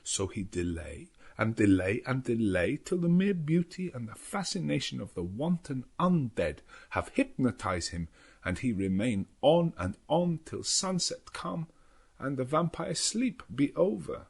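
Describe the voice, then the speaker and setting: Guy doing old professor for an audiobook, husky, grave and haunted, dramatic halting delivery. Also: mid-range voice, very animated, heavily acted. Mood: brooding, haunted. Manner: slow, dramatic, halting foreign phrasing. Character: elderly Dutch professor, solemn, anguished vampire hunter. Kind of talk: audiobook character narration.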